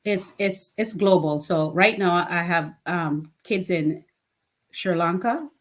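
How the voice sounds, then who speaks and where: A woman's voice: fairly low pitch, slow pace. A woman in her fifties, a charity founder, talking in a plain-spoken, earnest way in a casual interview and laughing often. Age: 50s. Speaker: guest, nonprofit founder.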